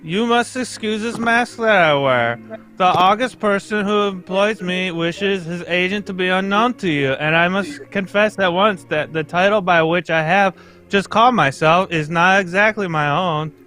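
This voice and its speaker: A haughty royal visitor in an old-time radio play, acted by a man. He speaks in a high, forceful voice, formal and pompous, with halting pauses. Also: soft background music, rough recording. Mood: guarded, formal.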